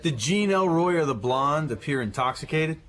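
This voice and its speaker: An actor playing a methodical police detective who questions formally in a radio drama. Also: male voice, on the high side, lively intonation, moderate pace, fairly bright tone. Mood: probing. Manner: formal, probing.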